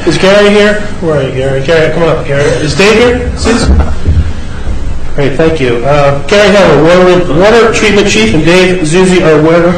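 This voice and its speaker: A male mayor with a cold, reading a proclamation quickly with small stumbles and joking asides at a city meeting. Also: a little high. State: has a cold, congested. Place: council chamber, indoors. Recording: echoey council chamber, faint hiss.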